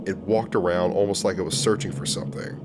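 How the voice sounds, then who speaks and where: Man as a grave, low horror-report narrator. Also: fairly even intonation, heavy background noise, lightly acted. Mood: grave, ominous. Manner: solemn, measured, formal. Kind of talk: scripted analog-horror narration.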